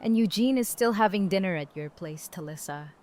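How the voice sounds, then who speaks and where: A woman's voice: medium pitch, animated, fairly quick, darkish tone, lightly acted. A woman playing a brash, outspoken survivor of about thirty in an audio drama, blunt and casual. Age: 30s.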